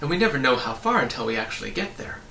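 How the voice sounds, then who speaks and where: A man's voice: medium pitch, big pitch swings, fast talker, creaky, sharp tone, reverberant, distant mic, a little hiss or hum, exaggerated voice. A man voicing an earnest, polite, curious young boy in a storybook, speaking plainly.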